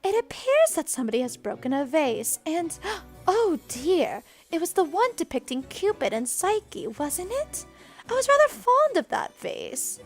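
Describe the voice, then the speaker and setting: Tawa, a woman doing a smooth genteel maid. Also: extremely high pitch, big pitch swings, measured pace, soft background music, pitched above the performer's own voice, heavily acted. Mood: concerned. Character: calm, poised older maid, smooth and gently scolding.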